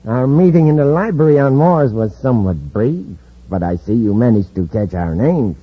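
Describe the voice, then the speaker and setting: A calculating villain who leads space thieves in an old radio serial. His voice is husky and mid-pitched, smug and commanding. The recording is muffled. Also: lively intonation, normal speed. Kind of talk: 1950s radio drama acting.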